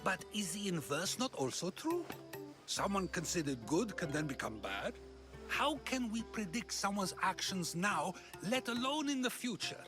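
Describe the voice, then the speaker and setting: An actor playing an earnest ancient Greek philosopher who talks in probing, rhetorical questions in a video game. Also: male voice, high voice, big pitch swings, moderate pace, over music. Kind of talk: video game cutscene acting.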